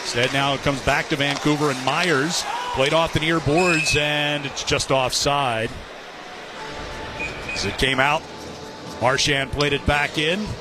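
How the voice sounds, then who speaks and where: A man's voice: on the high side, fairly even intonation, measured pace, long pauses, loud music behind the voice. A man doing live play-by-play commentary of an ice hockey game for radio. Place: sports arena broadcast position. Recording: live arena broadcast with loud background noise. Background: loud arena noise.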